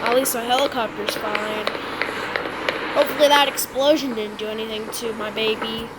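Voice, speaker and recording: A woman's voice: a little high, steady tone, moderate pace. A young woman giving casual gameplay commentary, talking to herself with dramatic exclamations over noisy game audio. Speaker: gameplay streamer.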